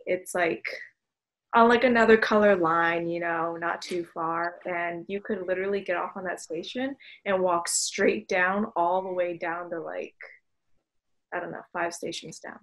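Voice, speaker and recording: Easygoing woman guest with a lowish voice. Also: fairly even intonation, a little slow, fairly bright tone, poor audio. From Miami, United States. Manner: easygoing, hesitant fillers. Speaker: podcast guest. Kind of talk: casual podcast chat.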